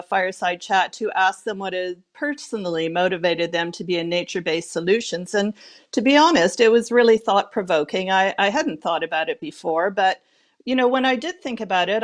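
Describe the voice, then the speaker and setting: A middle-aged woman on a video call with earphones, giving measured, articulate opening remarks as a panelist from a room at home. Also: mid-range voice. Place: home room with shelves, indoors.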